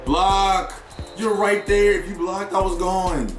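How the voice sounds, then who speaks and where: A young man with a raspy, sore voice giving excited live commentary on a football video game and talking to his viewers. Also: sits high, takes it slowly, reverberant, soft background music. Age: young adult. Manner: excitable, hypes plays, chatty with viewers. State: hoarse, sore voice. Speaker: gaming YouTuber.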